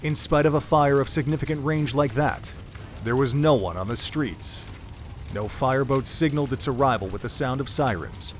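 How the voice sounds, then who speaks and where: Male narrator, mid-pitched, solemn dramatic storytelling, noisy ambient backdrop. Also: moderate pace, a bit bright.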